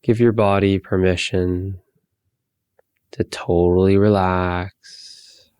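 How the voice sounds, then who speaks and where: A man leading a guided meditation, giving calm, soothing, unhurried instructions with long pauses. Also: low-pitched, smooth, no room sound.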